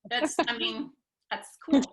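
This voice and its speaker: A woman's bright, fairly high voice rings with noticeable room echo. She hosts a casual interview, speaking with open enthusiasm and encouragement. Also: slow pace. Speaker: interviewer, host. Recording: roomy, echoing sound.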